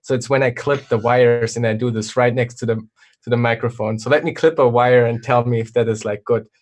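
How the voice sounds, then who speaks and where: A man's voice: medium pitch, fairly even intonation, brisk, a little mellow. A man guest in casual conversation, speaking hesitantly with many fillers and restarts. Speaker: guest, video maker. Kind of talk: casual chat.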